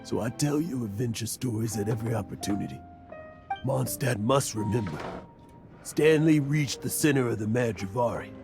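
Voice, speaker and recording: A man's voice: medium pitch, moderate pace, gravelly, close to an everyday voice. A man playing an aging, boastful adventurer haunted by guilt, in a dramatic video game performance. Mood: anguished, mournful. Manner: dramatic, emotional delivery. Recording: music behind the voice. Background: background music.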